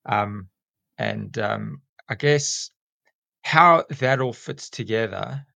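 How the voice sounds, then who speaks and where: A man in his forties with a South African accent, a school teacher talking casually as a podcast guest and often pausing with ums and restarts. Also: on the low side, vocal fry, bright, forceful tone, no room sound. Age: 40s. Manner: rambling, many ums and restarts.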